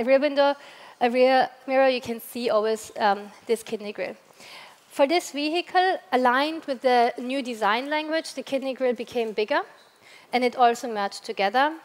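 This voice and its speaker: A woman's voice: a little high, normal speed. A woman from Austria with an Austrian accent giving a product presentation at a press launch, explaining step by step with occasional hesitations and restarts. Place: large event hall at a press launch. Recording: long reverb of a large hall.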